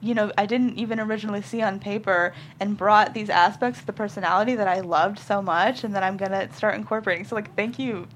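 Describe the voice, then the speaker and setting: A woman's voice: mid-range voice, steady tone, fast, hardly any pauses, rough recording. A young woman chatting casually as a guest writer on a panel. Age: young adult. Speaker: guest screenwriter. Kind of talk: casual interview chat. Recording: faint background room noise.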